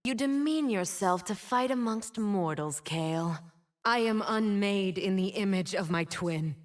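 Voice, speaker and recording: A woman's voice: medium pitch, expressive, close to an everyday voice. An actress playing a bitter, wounded fallen winged woman in a video game, solemn and theatrical. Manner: slow, solemn, theatrical delivery. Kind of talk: dramatic video game champion voice lines.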